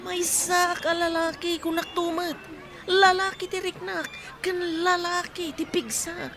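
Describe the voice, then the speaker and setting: An actress playing an insistent, lovestruck tomboy suitor in a comedy radio drama, with an Ilocano accent. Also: female voice, extremely high pitch, fairly even intonation, unhurried, a bit bright.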